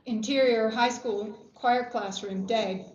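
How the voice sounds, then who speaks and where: A woman's voice: a little high, steady tone, slow, a little mellow. Female stage-direction reader for a screenplay table read; roomy, slightly muffled recording. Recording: echoey, dull, faintly noisy room recording.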